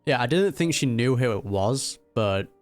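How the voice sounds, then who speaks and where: A man's voice: mid-range voice, expressive, normal speed, soft background music. A young man giving casual, informal live reaction commentary over a game, talking back to the characters. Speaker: gaming reaction creator.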